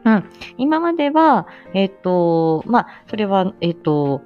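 A woman's voice: medium pitch, sing-song, slow pace, highs cut off, soft background music. A woman chatting casually to listeners as a livestream host, effusive and humble, often breaking into small laughs. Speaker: livestream host and amateur voice actor.

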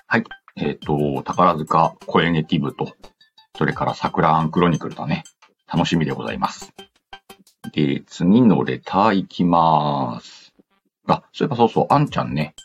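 A man with a deep voice hosts a casual show, reading listener mail aloud. His pitch moves around in a lively way as he drops in playful asides. The sound is a little dull, with music playing underneath. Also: moderate pace. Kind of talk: casual chatty radio-style talk. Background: background music.

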